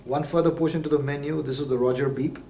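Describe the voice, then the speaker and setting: A man's voice: on the high side, steady tone, fast, warm tone. A man giving a methodical, explanatory product demonstration, as a presenter. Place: indoor lab. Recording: roomy echo, faint background noise.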